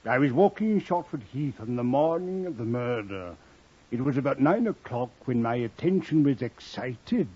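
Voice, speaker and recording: Fields: Figure: husky country witness. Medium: old radio play. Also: male voice, medium pitch, expressive, warm tone. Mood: earnest, solemn. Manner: deliberate, testifying. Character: plain country villager, earnest male murder witness. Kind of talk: 1950s radio drama acting. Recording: muffled 1950s radio recording.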